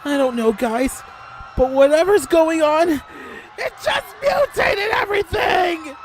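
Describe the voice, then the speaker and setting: Man as a lively, sincere teen boy. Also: fairly high pitch, moderate pace, some chatter behind, a little hiss or hum, poor audio. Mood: alarmed. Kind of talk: fan audio drama acting. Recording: music behind the voice.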